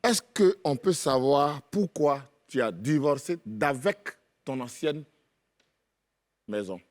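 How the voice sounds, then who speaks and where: A man's voice: medium pitch, expressive, slow. A man with an Ivorian French accent hosting a TV chat show, teasing his guest with playful wordplay. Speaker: TV show host.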